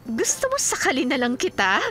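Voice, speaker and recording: A feisty Filipino wife in a radio drama, devoted but sarcastic and quick to jealousy. Her voice is high, fussing over her husband, with clear music underneath. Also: wildly swooping pitch, pushed and bright, full character voice. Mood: simmering, accusing.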